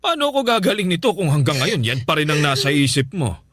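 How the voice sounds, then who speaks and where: A man's voice: on the high side, fast talker, dry recording, studio quality. An actor playing a sick but good-humored father who teases his daughter, in a radio drama. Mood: teasing. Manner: easygoing, teasing, calm. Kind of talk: Filipino radio drama acting.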